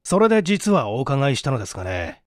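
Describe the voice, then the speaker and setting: A police detective, voiced by a man in an audio story, explains things in a measured, matter-of-fact manner. The voice has varied intonation and a dry, close sound. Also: mid-range voice, very animated, no room sound, close to an everyday voice. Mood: explanatory.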